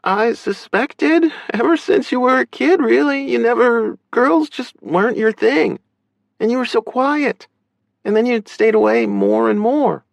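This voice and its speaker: Audiobook big sister, played by a man: lifted pitch, frank, earnest, caring, emotionally open. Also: unhurried, creaky, no echo, exaggerated voice. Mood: tearful, gentle. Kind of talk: audiobook character dialogue.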